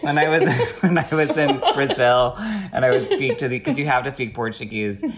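A woman's voice: rumbling low, moderate pace, crowd noise. A woman hosting a casual conversation, effusive and giggly, who says "like" constantly. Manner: effusive, giggly, heavy use of "like". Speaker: host.

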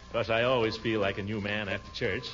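An actor playing a pompous, excitable middle-aged bachelor in 1940s radio comedy, blustery and given to chuckling. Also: male voice, fairly low pitch, quick pace, soft background music, lightly acted. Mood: hearty, amused. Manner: blustery, stammering starts, chuckling. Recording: muffled, noisy old radio recording.

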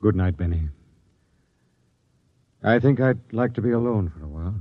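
An American man playing a weary, resigned middle-aged expedition captain in a 1950s radio drama, measured and solemn. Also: on the low side, expressive, no room sound. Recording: dull vintage radio broadcast recording, music.

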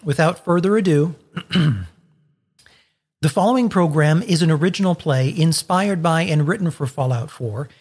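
Husky host in a long reverb. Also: mid-range voice, normal speed, pauses often, a little mellow. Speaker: host introducing a charity radio play. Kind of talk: semi-scripted introduction with dry jokes.

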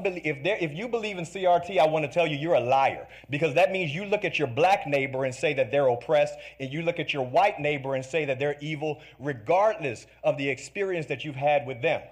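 A man from North Carolina stands at a public meeting and delivers an impassioned speech to the board. His voice is forceful, and he drives his points home with repeated rallying lines. The sound carries a meeting-room feel. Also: mid-range voice. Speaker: parent speaking at a school board meeting.

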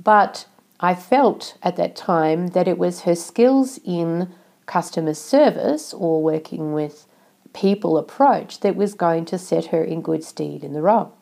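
A middle-aged woman from Australia hosting a solo podcast, sounding nasal from a cold, talking in a measured, explanatory way. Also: fairly low pitch, vocal fry, mellow. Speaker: podcast host.

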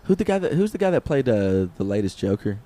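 A man's voice: on the low side, big pitch swings, fast talker, mellow. A young man co-hosting a podcast, rambling through a silly made-up story, swearing and laughing often. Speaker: podcast co-host. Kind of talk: casual comedic chat.

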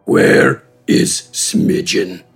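A man voicing a gruff, menacing giant brawler with a gravelly, husky voice, speaking slowly and threateningly in an audiobook. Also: faint music behind. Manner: slow, threatening, deliberate.

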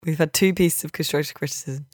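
A woman's voice: sits low, fast, darkish tone, dry recording, clean studio sound. A woman hosting a podcast, chatting casually in a wry, apologetic way. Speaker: podcast host. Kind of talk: casual chat.

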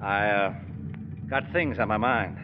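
An actor playing a troubled, nervously polite husband at the wheel, hesitant and courteous, in old radio drama style. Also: male voice, fairly low pitch, a little slow, lightly acted. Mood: preoccupied. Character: anguished married man driving, nervously polite and preoccupied. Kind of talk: 1940s radio acting. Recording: muffled old radio recording, car noise.

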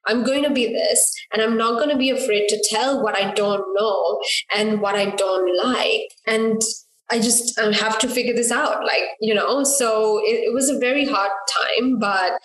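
A woman from Sri Lanka in her thirties, a guest telling her story in a casual interview with lively quoted asides. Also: fairly high pitch, normal speed, echoey room, poor audio.

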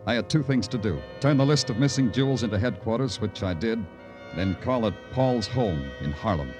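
A man plays a sardonic, compassionate police lieutenant in a vintage radio crime drama. His voice is low and swings widely in pitch, clipped and wry. Music runs with the recording. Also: animated, fairly quick, natural voice. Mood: brooding. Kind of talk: old-time radio crime drama acting and narration.

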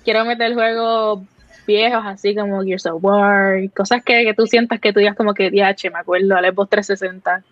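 A young woman with a Puerto Rican accent, a streamer guest chatting in a relaxed, easygoing way. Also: medium pitch, moderate pace, no echo. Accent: Puerto Rican Spanish. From Puerto Rico. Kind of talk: casual chat. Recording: dull lo-fi audio.

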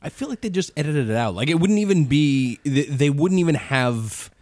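A man hosting a podcast in casual chat, laid-back, swearing and pausing on fillers. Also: fairly high pitch, lively intonation, darkish tone, no room sound. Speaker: podcast host.

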